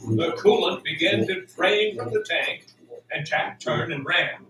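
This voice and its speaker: A man's voice: fairly high pitch, unhurried, noisy background. A man narrating a scripted audio drama in a theatrical, suspenseful storytelling style. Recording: roomy, distant, music underneath.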